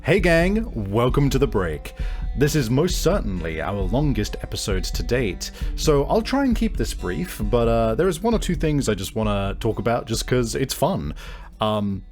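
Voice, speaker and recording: A man's voice: medium pitch, sing-song, moderate pace, darkish tone. A man hosting and game mastering, improvising vivid descriptive narration for a tabletop game. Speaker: game master and podcast host. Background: background music.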